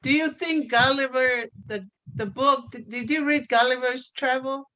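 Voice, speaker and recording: A woman co-hosting a casual discussion, eager and quick to agree. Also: high voice, pushed and bright, lo-fi sound. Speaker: co-host.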